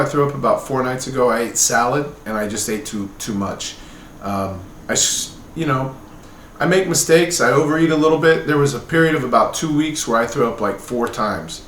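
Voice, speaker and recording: A man's voice: mid-range voice, fairly bright tone. Middle-aged man in an echoey, noisy room. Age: late 40s. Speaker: vlogger. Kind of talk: casual personal update to camera. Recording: roomy echo with background noise.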